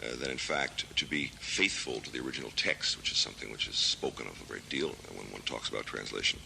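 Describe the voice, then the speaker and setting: An American man in his thirties, a literary translator, answering interview questions in a thoughtful, measured way with many hesitations. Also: very deep voice, vocal fry, pushed and bright, slight background noise, low-quality recording. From United States. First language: English. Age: 30s.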